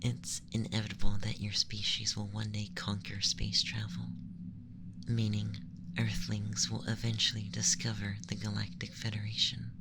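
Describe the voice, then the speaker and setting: An ASMR performer voicing a calm, condescending young male alien abductor in a breathy, often whispered, gentle and formal monologue. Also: medium pitch, very noisy. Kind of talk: ASMR roleplay, scripted monologue.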